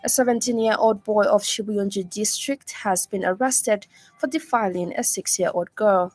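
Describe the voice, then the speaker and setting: A woman's voice: medium pitch, steady tone, darkish tone, soft background music. Formal Zambian woman reading radio news. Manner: formal, measured news delivery. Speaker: news reader. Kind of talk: scripted radio news bulletin.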